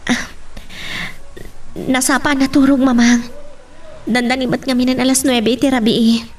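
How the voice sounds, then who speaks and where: A Filipino woman playing a dutiful, soft-hearted young daughter in a radio drama. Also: sits high, flat delivery, moderate pace, lightly acted. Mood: earnest.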